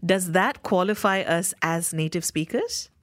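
A woman from Malaysia hosts a radio interview, putting brisk, direct questions to her guest. Her voice sits very low, and the sound is dry and close. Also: no room sound. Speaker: radio host.

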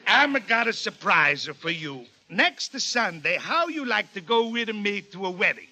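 Bright, blustery Italian restaurant owner. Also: male voice, sits high, sing-song, a little slow, full character voice. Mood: scheming, fatherly. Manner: effusive, pushy, broken English. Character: blustery, wheedling middle-aged Italian restaurant owner and father. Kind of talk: 1940s radio sitcom acting.

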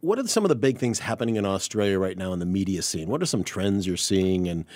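A man hosting a radio interview in a studio, asking relaxed, conversational questions. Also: low-pitched, fast talker, no echo. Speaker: radio host.

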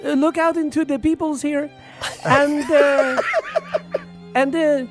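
A man voicing a nervous groom with a mock French-Italian accent, halting and full of broken grammar. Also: extremely high pitch, fairly even intonation, loud music behind the voice. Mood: nervous, flirty. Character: bumbling foreign groom reading clumsy vows.

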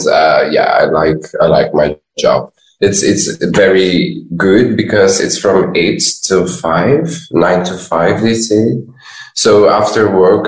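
A man in his late twenties from western Belgium with a Belgian accent, answering questions as an interview guest. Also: low-pitched. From west Belgium. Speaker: podcast guest, dancer and receptionist. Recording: echoey room.

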